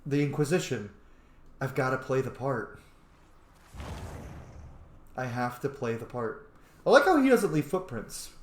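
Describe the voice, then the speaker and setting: A man giving casual roleplay commentary over a video game, chatty, rambling and informal. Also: on the high side. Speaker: gaming host. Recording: roomy, echoing voice recording.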